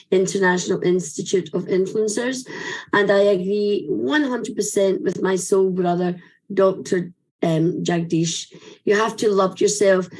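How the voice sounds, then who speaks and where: A woman's voice: medium pitch, a little mellow, low-quality recording. A woman with a Scottish accent giving an earnest, effusive and inspirational speech of thanks. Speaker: speaker giving a vote of thanks. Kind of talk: heartfelt ceremonial thanks speech.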